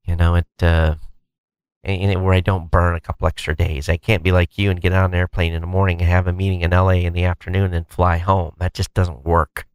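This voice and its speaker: A man's voice: deep voice, fairly quick, smooth, dry recording. A man co-hosting a podcast in casual, rambling chat, firing off eager questions. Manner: chatty, rambling, animated questions. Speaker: podcast co-host.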